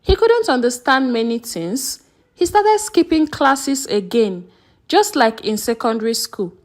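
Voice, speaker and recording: A woman's voice: sits high, expressive, a little slow. A woman narrating a scripted folktale as a storyteller.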